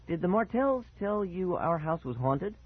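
A man voicing an excitable, easily exasperated young boy in a storybook reading, on narrow, tinny audio. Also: sits high, normal speed, husky, voice pushed up, exaggerated voice. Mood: eager questioning.

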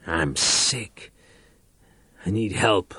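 An actor playing a desperate man sliding into panic and madness, pleading and crying out in a hoarse voice. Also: deep voice, lively intonation, extremely slow, sharp tone, dry recording, full character voice. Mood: panicked. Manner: pleading, cries out, wavers into sing-song. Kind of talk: radio drama acting.

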